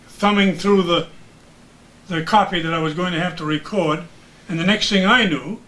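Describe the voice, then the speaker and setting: A man's voice: high-pitched, pushed and bright, poor audio. An older man telling stories in a casual chat, folksy and given to exclamations. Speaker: veteran radio announcer as guest.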